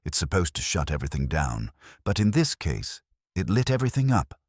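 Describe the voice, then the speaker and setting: A man plays a wry detective's sidekick in a mystery audiobook. He teases informally in a very low, husky voice with lively turns of pitch. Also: brisk, dry recording, clean studio sound, full character voice. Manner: informal, teasing. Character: wry, wisecracking male detective's assistant.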